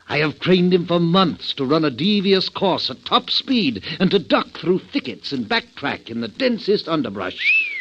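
An actor in a 1940s radio drama playing a calm, courteous, erudite criminal mastermind with formal, wordy, condescending speech. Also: male voice, mid-range voice, husky, full character voice. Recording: dull old 1940s radio recording.

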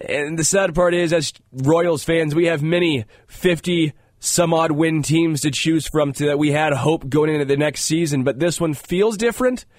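A man's voice: a little high, moderate pace, few pauses, dry recording, clean studio sound. A male sports radio host, congested, talking earnestly with a lot of fillers. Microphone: studio microphone.

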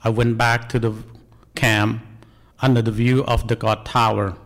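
A man's voice: medium pitch, flat delivery, measured pace, fairly bright tone. Man with a Cambodian accent telling his story. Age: 40s. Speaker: National Guard member telling life story. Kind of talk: prepared personal speech.

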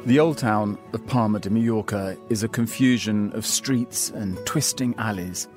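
A man's voice: lowish voice, music in the background. Man narrating a wry travel essay. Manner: measured, wry storytelling. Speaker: columnist reading his piece. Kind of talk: scripted narration.

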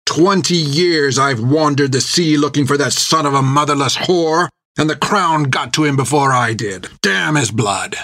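A man voicing a cruel older pirate captain who spits his words out in a seething, shouting rage. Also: medium pitch, expressive, takes it slowly, no room sound, clean studio sound, exaggerated voice. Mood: furious.

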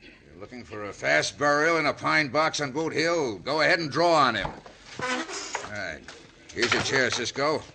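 Gravelly western outlaw boss. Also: male voice, mid-range voice, lively intonation, moderate pace, background noise. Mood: controlled. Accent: American. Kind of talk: 1950s radio western acting. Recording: old radio recording with music behind.

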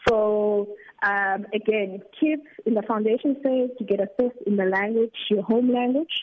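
A woman's voice: medium pitch, moderate pace. Woman on a tinny phone line. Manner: explanatory, hesitant with many fillers and restarts. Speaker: CEO of an education nonprofit, guest. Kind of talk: radio phone interview.

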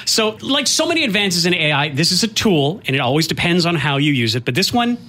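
A man with a high, bright voice presents technology news for radio. He explains things in lively, conversational chat with animated enthusiasm. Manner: animated, enthusiastic, quick to laugh.

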